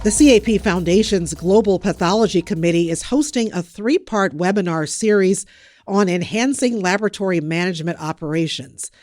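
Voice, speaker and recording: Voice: medium pitch, normal speed, a little mellow, dry recording, clean studio sound, soft background music. A podcast news host reading scripted narration.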